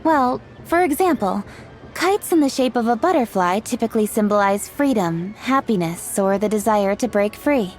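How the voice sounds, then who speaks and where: An actress playing a poised, earnest young woman official in a video game, speaking in an articulate, measured, explanatory way. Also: mid-range voice, lively intonation, darkish tone, natural voice. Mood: thoughtful, earnest. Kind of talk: scripted video game dialogue acting. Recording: music behind the voice.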